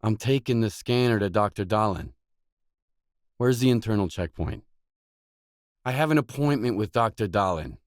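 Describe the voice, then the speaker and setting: An actor playing a wary, world-weary stalker, the blunt protagonist of a video game, in terse acted dialogue. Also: male voice, lowish voice, expressive, normal speed, pauses often, no echo, studio quality, natural voice. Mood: probing, wary.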